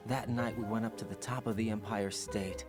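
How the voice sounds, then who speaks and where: A man's voice: lowish voice, fairly even intonation, normal speed, music in the background, lightly acted. An actor playing an earnest young male operative talking over a radio link. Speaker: soldier operative.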